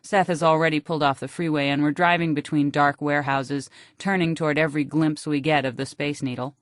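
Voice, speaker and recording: A woman with an American accent reads a literary first-person audiobook in a wry, deadpan manner, close on the microphone. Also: low-pitched, fairly quick, no room sound. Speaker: audiobook narrator.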